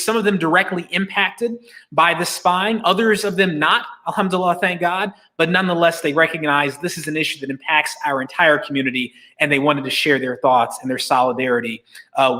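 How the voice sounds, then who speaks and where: A man's voice: a little high, sharp tone. A man hosting a virtual press conference, delivering a prepared public statement in an emphatic way. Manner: emphatic, uses rhetorical questions. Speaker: host and moderator of a press conference.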